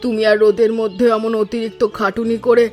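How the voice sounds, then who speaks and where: A woman voicing a compassionate old village wife in a cartoon story, homely and gently chiding. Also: on the high side, flat delivery, fairly quick, lightly acted. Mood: fond, content. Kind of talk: Bengali cartoon story voice acting. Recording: music behind the voice. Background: music.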